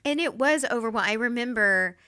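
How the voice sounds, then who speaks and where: A woman with a bright voice hosting a casual interview. She is chatty and friendly and laughs often as she reminisces with her guest. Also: on the high side, expressive, brisk, smooth. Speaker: podcast host.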